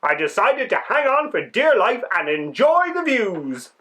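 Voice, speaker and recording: Man voicing an excitable little winged bug, lively pitch. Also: unhurried, exaggerated voice. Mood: excited, amazed. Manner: wide-eyed, dramatic, emphatic first-person telling. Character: small excitable winged insect narrating its adventure. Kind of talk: children's storybook read-aloud.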